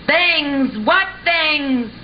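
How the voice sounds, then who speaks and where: Puppet show dinosaur played by a woman: high, extremely slow, pondering humans with puzzlement; muffled, hissy home recording. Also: expressive, full character voice. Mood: puzzled, pondering. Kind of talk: amateur puppet show acting.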